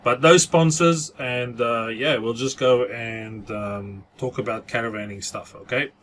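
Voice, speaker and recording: A man's voice: medium pitch, unhurried, fairly bright tone. A man from South Africa with a South African accent, talking casually to camera for his own channel and dropping in Afrikaans words. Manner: chatty, mixes in Afrikaans phrases. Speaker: vlogger. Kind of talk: casual vlog monologue.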